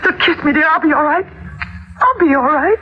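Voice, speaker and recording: An actress playing an anxious, haunted wife in 1940s radio mystery style, with a trembling, halting, melodramatic delivery. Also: female voice, sits high, lively intonation. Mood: anxious, tense. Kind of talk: 1940s radio mystery acting, dramatic dialogue and narration. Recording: dull old radio recording, loud music.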